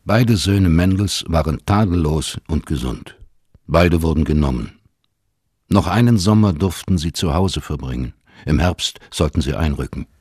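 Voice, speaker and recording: A man reads literary narration for a radio play. His voice is low and husky, recorded in a dry studio without room sound. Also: lively intonation, moderate pace, darkish tone, dry recording.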